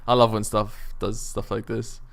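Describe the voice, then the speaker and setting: A man's voice: fairly low pitch, little pitch movement, normal speed, vocal fry. A man hosting a casual gaming podcast chat, talking enthusiastically and swearing freely. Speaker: podcast host.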